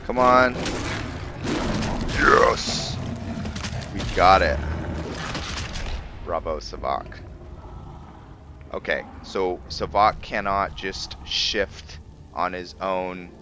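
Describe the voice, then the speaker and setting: A man giving casual gameplay commentary for a roleplay let's play, thinking aloud in a halting way. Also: low voice, gravelly, noisy background.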